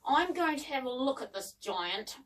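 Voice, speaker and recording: A woman's voice: high-pitched, lively intonation, normal speed, recorded from a distance. A woman voicing a bold, scornful young boy who puts on bravery in a children's story, speaking in a defiant, exclamatory way. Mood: muttering bravado.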